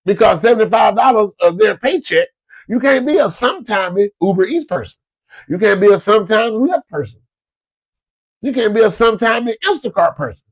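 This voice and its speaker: A man's voice: high voice, sing-song, brisk, low-quality recording. A man, stuffed up from a cold, giving folksy business advice to his listeners in a casual, repetitive way. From Florida. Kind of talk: casual motivational talk to listeners.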